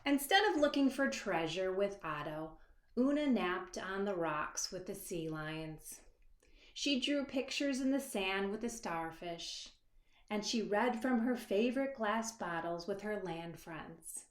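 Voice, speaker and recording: A woman author reading a children's picture book aloud expressively, with dramatic hushed moments and asides to young listeners. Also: mid-range voice, animated. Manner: dramatic, dropping to hushed asides and stretched words; pauses to address young listeners with questions. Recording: echoey, reverberant room.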